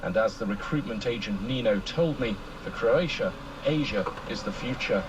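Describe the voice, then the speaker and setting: A man's voice: medium pitch, animated, moderate pace, lo-fi sound. Male radio correspondent over road noise. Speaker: foreign correspondent. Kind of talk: scripted news report.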